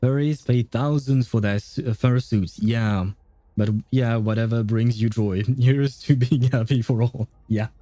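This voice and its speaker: A man's voice: mid-range voice, fairly even intonation, a little slow, mellow, dry recording. A man chatting casually on a livestream in a rambling, easygoing, chuckling way. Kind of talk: casual livestream chat about games and life.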